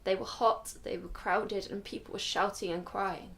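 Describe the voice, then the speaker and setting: A woman playing a dignified grandmother telling her life story in a radio drama, measured and solemn. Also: medium pitch, fairly even intonation, vocal fry, natural voice. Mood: solemn, reflective. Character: weary, dignified Ethiopian-Israeli grandmother recalling her flight.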